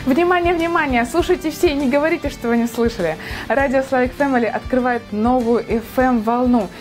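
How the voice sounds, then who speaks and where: Woman, radio ad announcer, highish voice, emphatic, light music underneath. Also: brisk. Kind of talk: upbeat radio promo.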